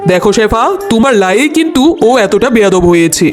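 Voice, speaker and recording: A man's voice: sits high, animated, fairly quick. A man voicing a fair-minded cartoon father and uncle who reasons and admonishes earnestly. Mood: stern. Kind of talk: cartoon dialogue. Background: music.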